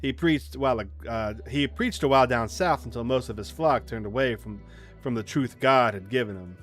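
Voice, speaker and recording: Haunted rural boy telling his story for a visual novel read by a man, average pitch, unadorned halting read, music underneath. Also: lightly acted. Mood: somber, reflective. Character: haunted country boy Runt recounting his childhood. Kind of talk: reading visual novel narration aloud.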